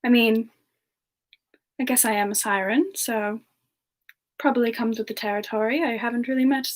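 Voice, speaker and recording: A woman voicing a gentle, friendly young siren demon in a semi-ASMR livestream chat, speaking softly and hesitantly with polite warmth toward listeners. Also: a little high, fairly even intonation, normal speed, close to an everyday voice. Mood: shy, welcoming. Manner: soft-spoken, hesitant, polite, breaking into murmurs. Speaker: livestream host in character.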